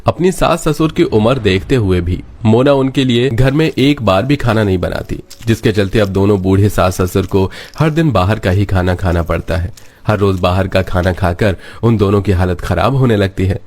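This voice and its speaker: A man's voice: on the low side, normal speed, a little mellow. A man reading scripted narration as the storyteller.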